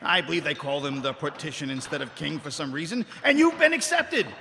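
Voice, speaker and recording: A man's voice: fairly high pitch, expressive, a little fast, creaky. A man playing an awkward, fatherly dwarf king in live radio theatre, hesitant, stammering and apologetic. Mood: brisk, fatherly. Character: awkward, fatherly dwarf king breaking news to his son. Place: live convention stage. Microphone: stage microphone on stand. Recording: reverberant hall stage, faint noise.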